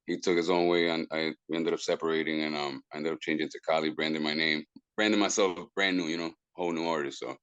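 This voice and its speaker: A man's voice: a bass voice, fairly even intonation, quick pace, few pauses, a bit bright, clear, resonant tone, no room sound, dull audio. A man, a guest musician who talks casually, stumbles over repeated words and drops into Spanish phrases. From Puerto Rico. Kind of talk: casual interview talk.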